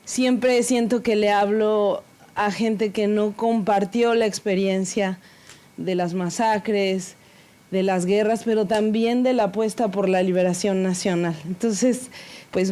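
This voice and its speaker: A woman speaking earnestly at an academic conference panel, halting and full of fillers, openly nervous. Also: mid-range voice, fairly even intonation, warm tone. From Mexico. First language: Spanish.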